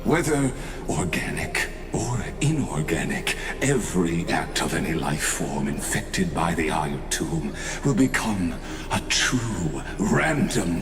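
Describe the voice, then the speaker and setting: Very slow, deep grandiose villain. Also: male voice, heavily acted. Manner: slow, solemn, deliberate, pompous. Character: grandiose ancient male genius villain, a machine-bound mind, coldly fanatical. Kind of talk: dramatic scripted game villain monologue. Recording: echoing voice over prominent music.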